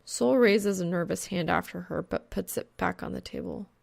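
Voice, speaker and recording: A woman narrator reading fan fiction as an expressive audiobook. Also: on the low side, normal speed, gravelly.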